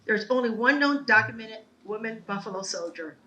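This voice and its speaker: A woman with a bright voice that sits a little high speaks at a ceremony, earnest and measured as she tells her family's story. She sounds a little distant from the mic, with faint ambience behind her. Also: animated, lo-fi sound. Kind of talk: prepared speech at a ceremony. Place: outdoor ceremony.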